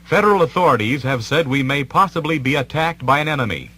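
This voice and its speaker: A man reading an official emergency announcement in a vintage civil defense radio style, formal, deliberate and authoritative. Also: a little high, expressive, pushed and bright, slightly rough.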